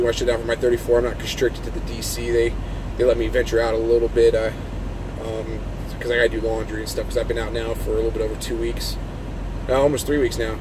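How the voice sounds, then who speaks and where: A man who drives trucks talks in a casual, unscripted vlog, rambling informally as he explains things from his parked truck. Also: mid-range voice. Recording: clear steady vehicle and engine-like noise.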